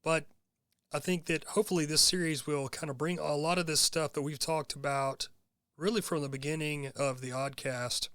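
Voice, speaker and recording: A man hosting his own podcast, hoarse from being sick, talking in an earnest, rambling monologue full of fillers. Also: on the high side, steady tone, moderate pace, a bit bright, dry recording. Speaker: podcast host. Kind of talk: casual monologue.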